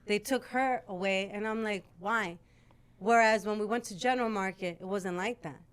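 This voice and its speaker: A woman's voice: mid-range voice, moderate pace, clean tone. A woman who works in radio, speaking candidly and casually as a podcast guest. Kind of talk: casual candid interview talk.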